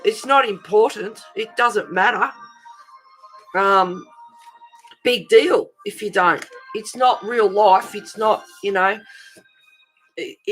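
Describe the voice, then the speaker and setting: Australian woman, gravelly creaky voice, casual rambling live-stream host, informal and encouraging, music behind. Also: mid-range voice, a bit bright, poor audio. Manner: rambling, informal, encouraging, addressing viewers as guys.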